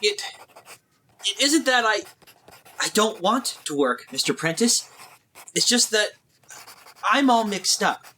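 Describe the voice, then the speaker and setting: Man acting high-voiced, flustered young helper boy. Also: sing-song, slow, long pauses, bright, forceful tone, slight background noise, full character voice. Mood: confused, weary. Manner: hesitant, stammering, pleading. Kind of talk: retro 1940s-style radio drama acting.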